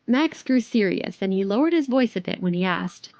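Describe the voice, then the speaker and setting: A woman's voice: mid-range voice, expressive, normal speed, a little mellow, no echo, highs cut off. A woman narrating an audio drama from a script as its storyteller.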